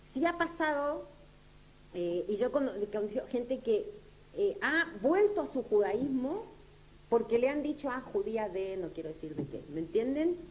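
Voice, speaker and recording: A woman's voice: big pitch swings, low-quality recording. A woman lecturing informally, often repeating herself and checking that her listeners follow. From Latin America. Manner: halting, repetitive, checks that listeners understand. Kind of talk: informal lecture with audience questions. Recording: clear background noise, low hum.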